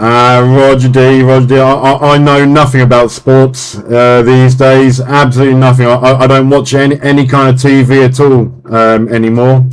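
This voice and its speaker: A man's voice: mid-range voice, flat delivery, normal speed, hardly any pauses, smooth. A man hosting a CB radio net in casual chat, often stumbling and repeating his words, with a polite manner. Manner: hesitant, repeats words and phrases; polite, apologetic. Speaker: CB radio net host and live streamer. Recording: very noisy CB radio session with engine-like hiss. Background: loud radio noise and clicks.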